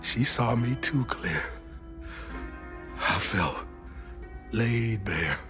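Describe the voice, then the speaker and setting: A man playing a guilt-ridden, gentle but wounded character in a video game, with a Southern US accent and halting, confessional delivery. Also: on the low side, expressive. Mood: pained, ashamed. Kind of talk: naturalistic game drama acting. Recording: music behind the dialogue.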